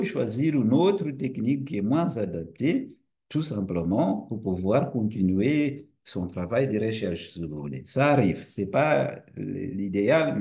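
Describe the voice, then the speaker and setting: A man whose first language is Portuguese speaks slowly and deliberately. He lectures like a university teacher, and his pitch rises and falls a lot. He poses rhetorical questions and often restarts a phrase. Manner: relaxed and friendly. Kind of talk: lecture to camera.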